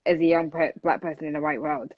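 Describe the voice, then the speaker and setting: A loud, earnest woman in her twenties who talks in long, flowing runs on a podcast. The audio is dull and muffled, and the room sounds dry and close. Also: low-pitched, very animated, fast talker, vocal fry, no echo. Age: 20s. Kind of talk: casual conversation.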